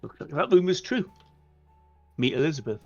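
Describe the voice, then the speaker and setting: Creaky-voiced man playing a tabletop game. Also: a little high, very animated, normal speed, a little mellow, dull audio, soft background music. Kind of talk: casual in-game roleplay and questions.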